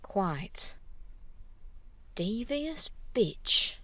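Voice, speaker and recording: Brisk female TV boss, lively intonation. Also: medium pitch, dry recording, full character voice. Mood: dry, scornful. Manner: businesslike, politely dismissive, wry. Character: brisk, smooth-talking female TV casting boss, privately scornful. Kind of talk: naturalistic audio drama acting.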